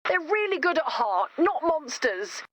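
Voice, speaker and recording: A young video game heroine with a high voice. She is chatty and earnest, a romantic who gently bosses people around with a wry edge. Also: sing-song, a little slow. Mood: exasperated. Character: earnest, romantic, gently bossy young woman organizing monster wedding.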